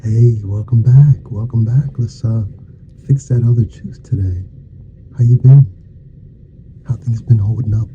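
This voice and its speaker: Slow, soft-spoken man in mellow ASMR. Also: lowish voice, lo-fi sound, slight background noise. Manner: folksy, reassuring small talk in short murmured phrases. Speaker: ASMR performer playing a dentist. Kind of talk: ASMR roleplay, soft-spoken to half-whispered.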